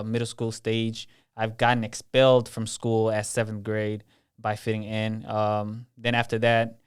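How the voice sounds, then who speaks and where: A man's voice: mid-range voice, clear, resonant tone. A man in his twenties from Kenya, telling his life story as an interview guest in a plain, matter-of-fact way. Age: 20s.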